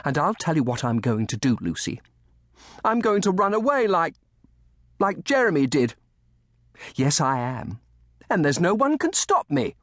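A man's voice: a little high, very animated, normal speed, dry recording, studio quality, pitched above the performer's own voice. A man voicing a frail, upset and stubborn young orphaned boy in a storybook, stammering as he protests. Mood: defiant. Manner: stammering, repeating words in protest.

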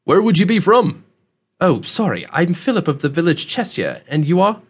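A man's voice: high voice, big pitch swings, normal speed, slightly rough, voice pushed up, heavily acted. A man narrating an audiobook, voicing a polite, earnest young adventurer with an anxious, urgent manner. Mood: bewildered.

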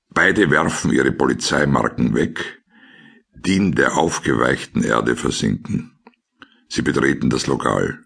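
A man reading scripted scene descriptions as a deadpan radio play narrator. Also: a bass voice, creaky, slightly rough, full character voice.

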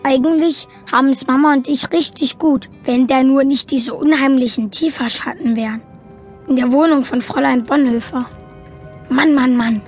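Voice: high voice, steady tone, moderate pace, faint music behind, close to an everyday voice. An actor playing an earnest, quirky young boy who narrates his story matter-of-factly in a children's audio drama. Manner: matter-of-fact, childlike, explanatory. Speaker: narrator and main character.

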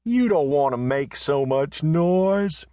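A man voicing a big, red-faced male messenger who shouts belligerently in a western story. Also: high voice, expressive, unhurried, no room sound, clean studio sound, pitched above the performer's own voice. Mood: shouting.

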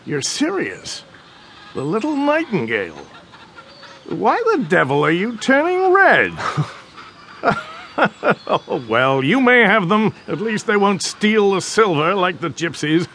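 A man's voice: high voice, huge pitch swings, slow, pushed and bright, slight background noise, exaggerated voice. An actor playing a haughty, bigoted older count and domineering father in an audio drama, with a pompous, mocking manner. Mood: mocking, jovial.

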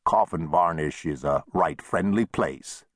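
A man's voice: low voice, unhurried, dry recording, studio quality. A man voicing a wry, easygoing old frontier saloon owner with folksy, plain-spoken talk in a western audiobook.

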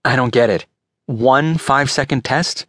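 A man's voice: mid-range voice, a little slow, fairly bright tone, no room sound. A man plays an anxious male customer in an audiobook. He sounds insistent and disbelieving, pushing for answers, in a voice recorded close and dry. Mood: incredulous. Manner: pleading, insistent. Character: Gideon, an anxious, disbelieving male manuscript seller. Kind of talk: audiobook character voice.

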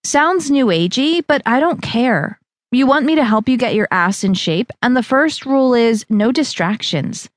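A woman voicing a pushy, upbeat young female fitness trainer who coaxes loudly. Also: fairly high pitch, animated, normal speed, dry recording, studio quality, pitched above the performer's own voice, close to an everyday voice. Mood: bossy. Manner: pushy, cheerful, loud coaxing.

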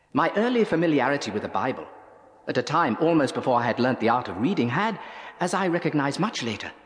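An actor playing a learned, dignified male doctor recalling his youth in a formal, measured, reflective way, with long reverb around the voice. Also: mid-range voice, lightly acted. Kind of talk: dramatised memoir monologue.

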